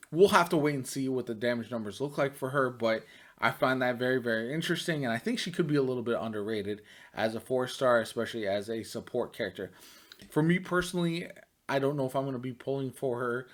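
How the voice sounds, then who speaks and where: Male gaming vlogger, medium pitch, conversational and chatty reaction commentary. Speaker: gaming YouTuber. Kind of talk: casual reaction and commentary to camera.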